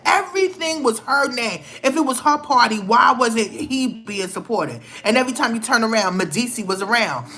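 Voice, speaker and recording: A woman's voice: on the high side, fairly bright tone. A woman giving blunt, scolding celebrity gossip commentary in a vlog, with emphatic outbursts. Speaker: vlogger.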